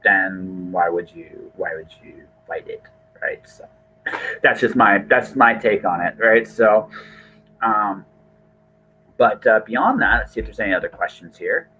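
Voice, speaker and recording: A man's voice: fairly low pitch, measured pace, pushed and bright, slight background noise, rough recording. A man hosting a podcast in casual conversation, talking informally and often repeating and rephrasing himself. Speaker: podcast host. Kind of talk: casual interview chat.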